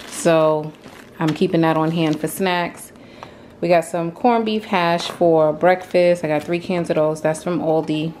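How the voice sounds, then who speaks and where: A woman's voice: low voice, fairly even intonation, unhurried, a little mellow, a little hiss or hum. A woman in her thirties chatting casually in her kitchen, venting with small laughs while showing groceries. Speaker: home vlogger. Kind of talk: casual grocery haul and cleaning chat. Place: home kitchen. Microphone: handheld phone camera.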